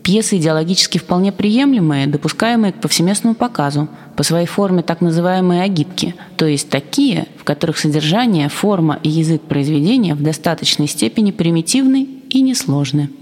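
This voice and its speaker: A woman formally reading an official document in a measured manner, with echoing reverb around her voice. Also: lowish voice, lively intonation, a little mellow. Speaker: guest reader of documents. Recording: long echoing hall reverb.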